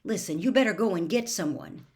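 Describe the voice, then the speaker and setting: A woman voicing a worried schoolboy wizard in an audiobook, speaking in an urgent, clear, firm manner. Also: mid-range voice, a little fast, natural voice.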